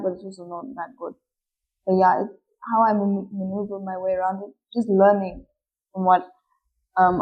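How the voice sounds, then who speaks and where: Shy, hesitant teenage girl. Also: medium pitch. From Malawi. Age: late teens. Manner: shy, hesitant, many fillers and restarts. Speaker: footballer guest. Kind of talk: casual interview answers. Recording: dull lo-fi recording.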